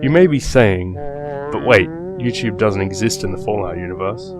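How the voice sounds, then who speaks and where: A man reading a scripted horror story as narrator, in a measured, explanatory way. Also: lowish voice, expressive. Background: music, guitar.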